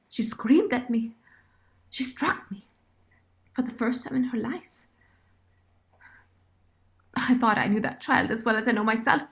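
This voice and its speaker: A woman playing a remorseful, loyal nursemaid in a period radio drama, giving an earnest, solemn and deliberate monologue. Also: mid-range voice, close to an everyday voice. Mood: anguished. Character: Runa, remorseful, loyal Indian nursemaid confessing in writing.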